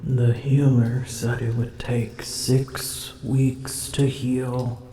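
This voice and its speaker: A woman's voice: extremely low pitch, little pitch movement, extremely slow, mellow, a little hiss or hum, exaggerated voice. A woman voicing a haughty, drowsy and grumpy female dragon in an ASMR roleplay, speaking in formal old-fashioned phrasing and often whispering. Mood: drowsy, irritated. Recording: roomy echo.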